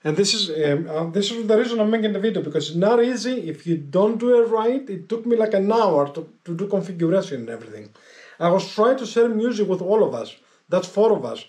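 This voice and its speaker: A man with a high-pitched voice talks through a casual hands-on tutorial. He explains step by step, haltingly, often repeating his instructions as he goes. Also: lively intonation, darkish tone. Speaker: motorcycle gear vlogger giving a tutorial.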